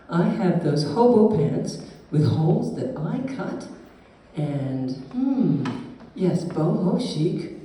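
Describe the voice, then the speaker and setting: A woman's voice: mid-range voice, mellow. A woman performing a cheerful, chatty, fashion-minded schoolchild in a live puppet show, musing aloud in a theatrical sing-song manner. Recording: echoey, noisy hall from far off.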